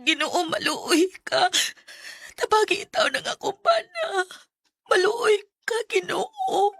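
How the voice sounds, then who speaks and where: A Visayan Filipino woman playing a devoted, soft-hearted young wife in a radio drama. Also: very high-pitched, very animated, slow, creaky, no echo, full character voice. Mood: tearful pleading. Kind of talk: Bisaya radio drama acting.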